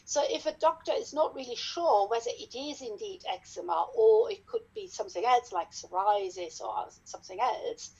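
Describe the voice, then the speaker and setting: A woman's voice: fairly high pitch, very animated, darkish tone, breathy edge, muffled recording. A middle-aged woman with a German accent, a dermatologist explaining her field carefully as a podcast guest. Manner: careful, explaining step by step with pauses.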